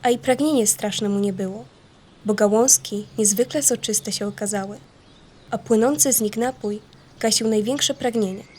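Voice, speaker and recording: A woman narrating a scripted children's story in a folksy, expressive storytelling manner. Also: mid-range voice, moderate pace, slight background noise.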